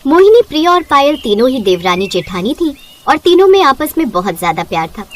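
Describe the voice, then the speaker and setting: A woman narrates a story from a script. Her voice sits fairly high, and she reads as a storyteller. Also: sing-song, brisk, slight background noise.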